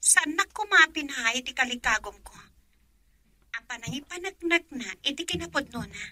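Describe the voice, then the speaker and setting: A woman plays a heartsick young daughter in an Ilocano radio drama, her voice high and very bright. She talks emotionally, slipping from soft sighs to loud pleading. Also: animated, moderate pace, exaggerated voice. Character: young woman torn over love, daughter.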